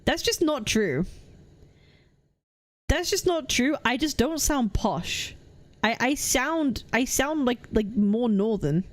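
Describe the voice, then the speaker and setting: A young Welsh woman streaming live, chatting with her viewers and answering their comments in an animated, defensive way that trips over restarts. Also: fairly high pitch, slow pace. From Wales. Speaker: VTuber streamer.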